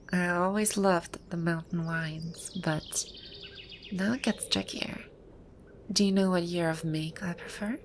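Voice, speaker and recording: A woman playing a proud, teasing video game villainess come to life, speaking softly in an intimate ASMR roleplay. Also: on the low side, slight background noise. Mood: smug, guarded. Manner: smug, teasing, soft-spoken with breathy asides. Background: birdsong and outdoor ambience sound effects.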